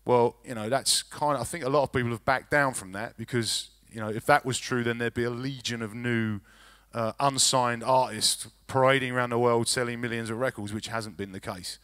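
A man in his forties, a record label executive, answering at a conference panel on a handheld mic, measured with many fillers and restarts. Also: medium pitch, fairly even intonation, a bit bright. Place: large conference hall stage.

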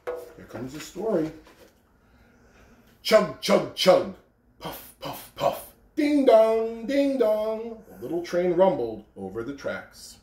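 American man, thirties, school announcer and storybook reader. Mellow, lively intonation, recorded in a roomy home from a distance. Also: sits high, slow, a little hiss or hum. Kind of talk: morning announcements and storybook read-aloud to children. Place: carpeted living room at home.

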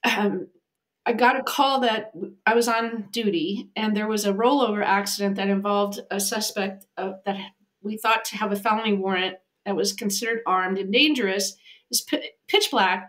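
A woman's voice: medium pitch, normal speed, a bit bright. A woman in her fifties from the United States, an animal communicator telling a vivid story as a podcast guest over a video call from a home office. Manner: vivid storytelling, acting out quoted voices. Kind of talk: storytelling in an interview. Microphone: video call, webcam.

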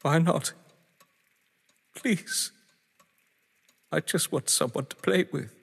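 A man acting a bitter, possessive husband in an audio drama, with deliberate, theatrical, articulate delivery. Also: fairly high pitch, creaky, breathy edge, exaggerated voice. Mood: desperate pleading. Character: bitter, possessive male crime-story lover, pleading then menacing. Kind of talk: dramatic stage-play acting. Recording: long echoing reverb.

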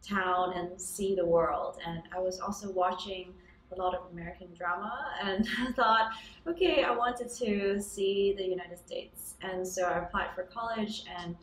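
A young woman from Hong Kong, a startup founder, answering interview questions in a casual, thoughtful way with frequent ums. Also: medium pitch. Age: young adult. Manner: thoughtful, many ums and restarts, occasional laughs. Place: office room by a large window with city towers outside. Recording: roomy echo, somewhat distant mic.

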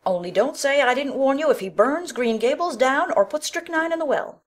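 A woman's voice: sits high, animated, normal speed. A woman playing an opinionated, gossipy older village busybody in a dramatic audiobook, with a blunt, scolding, folksy delivery. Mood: doubtful warning.

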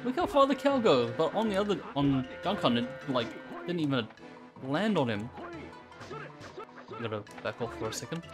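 A man's voice: on the high side, sing-song, normal speed. A man streaming a video game, giving casual, offhand commentary on the play. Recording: game music behind voice. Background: game music.

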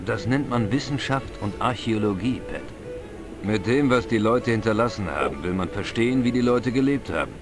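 A male actor voices an older village know-all at the pub in an adventure game. He explains things drily in a lowish, measured voice, with clear music behind him. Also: lively intonation, close to an everyday voice. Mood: patient, wry. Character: older, knowledgeable, wry Irish pub regular. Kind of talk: dubbed adventure game dialogue.

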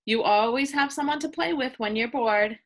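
A woman reading a children's picture book aloud like a teacher, with expressive delivery aimed at young listeners. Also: high voice, normal speed. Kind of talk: children's read-aloud, scripted narration.

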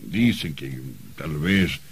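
An actor playing a humble, hesitant gardener in a radio drama. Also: male voice, very deep voice, animated, takes it slowly, breathy edge, full character voice. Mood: deferential.

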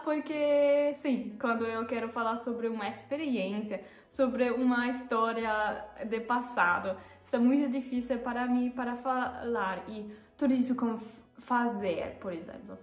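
A young woman from Germany speaking with a German accent, who often pauses and corrects herself and laughs at her own mistakes. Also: on the high side, reverberant. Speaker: vlogger. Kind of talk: casual vlog talk to camera. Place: living room, seated on a sofa.

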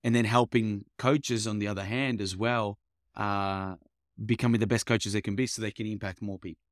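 A man's voice: lowish voice, steady tone, normal speed, no echo, clean studio sound. A young man who grew up in Italy, a coach talking casually as a podcast guest, with many fillers and restarts. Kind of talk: casual interview chat.

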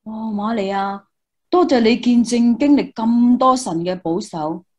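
A woman plays a devout, eager student reporter in a Christmas radio drama. Her voice sits somewhat high, with earnest exclamations and a Hong Kong Cantonese accent. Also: unhurried, darkish tone, natural voice. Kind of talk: Christmas radio play dialogue.

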